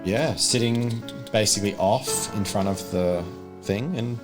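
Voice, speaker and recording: A man's voice: fairly low pitch, unhurried. A man working as game master, narrating a tabletop roleplaying game and explaining rules in a casual, improvised way. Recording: loud music behind the voice. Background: music.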